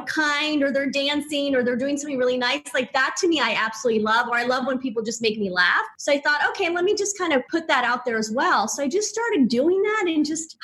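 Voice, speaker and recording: A woman's voice: high voice, a bit bright. Upbeat chatty woman, native Spanish speaker. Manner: upbeat, chatty, laughs often, many fillers. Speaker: guest, personal branding entrepreneur. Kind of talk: casual interview chat.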